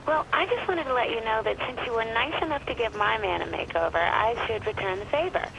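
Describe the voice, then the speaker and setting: A woman's voice: fairly high pitch, brisk. An actress playing a taunting, sweetly cruel young gangster's girlfriend in a video game, sarcastic and mock-sweet. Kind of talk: video game cutscene acting. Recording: clear background noise.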